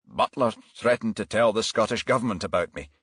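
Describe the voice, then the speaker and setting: A man voicing a bitter, evasive murder suspect in an audiobook, halting and defensive as he justifies himself. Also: on the low side, fairly bright tone, slightly rough, dry recording, clean studio sound. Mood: bitter, self-justifying.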